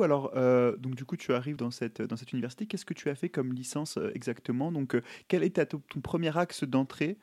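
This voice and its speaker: A man's voice: mid-range voice, a little mellow. Enthusiastic male interview host. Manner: enthusiastic, many fillers and restarts. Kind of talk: livestream interview.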